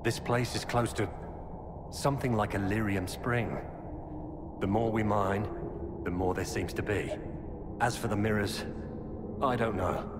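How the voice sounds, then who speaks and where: An actor playing a grim former Templar turned Qunari informant in a video game, speaking in a grave, measured, earnest way. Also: male voice, on the low side, fairly even intonation, natural voice. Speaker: game character. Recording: game audio with long reverb and ambient noise.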